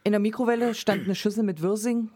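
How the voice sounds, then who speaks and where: This is a woman in her thirties with a mid-pitched voice. She chats freely and tells personal stories in a relaxed, anecdotal way. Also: quick pace. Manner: chatty anecdotal storyteller. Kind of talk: casual chat.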